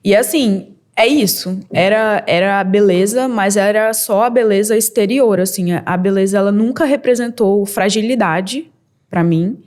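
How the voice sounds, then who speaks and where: A young woman from Brazil, a model and entrepreneur, telling her story as a podcast guest in a candid, lively way with quick bursts and laughs. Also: mid-range voice. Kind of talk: casual interview storytelling.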